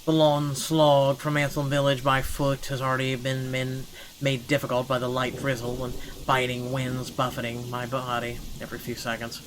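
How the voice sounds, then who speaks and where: A man with a medium-pitched voice narrates a visual novel in an expressive, dramatic first-person style. He drops in blunt, sweary asides as a gaming host. Clear background noise and ambience sit behind his voice. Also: moderate pace, rough recording. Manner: expressive, sweary commentary between read passages.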